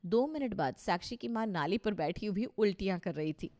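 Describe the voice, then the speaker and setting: A woman's voice: mid-range voice, animated, moderate pace, warm tone. A woman reading scripted narration for a moral story. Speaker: narrator and voice of characters.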